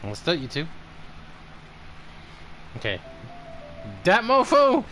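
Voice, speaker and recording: A man streaming a video game, commenting casually in a profane, excitable way as he plays. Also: high voice, slow, faint music behind. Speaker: gaming streamer.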